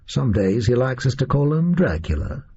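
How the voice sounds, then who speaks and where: A male reader voicing a disillusioned, boastful gunman in an audio story, with a high, reedy voice and folksy, slangy delivery. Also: sing-song, moderate pace, mellow, breathy edge, highs cut off, full character voice. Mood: smug menace.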